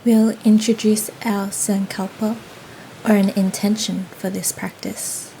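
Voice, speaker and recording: A woman leading a guided yoga nidra meditation in a soothing, gentle, unhurried manner. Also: mid-range voice, gravelly, rough recording. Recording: faint steady ocean noise behind. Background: ocean waves ambience.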